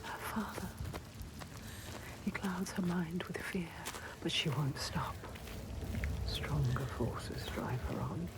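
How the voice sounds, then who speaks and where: A low, breathy female voice inside the head, narrating a dark game. She is slow, hushed and watchful, whispering over heavy noise. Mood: hushed, ominous. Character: eerie female inner-voice narrator, intimate and watchful.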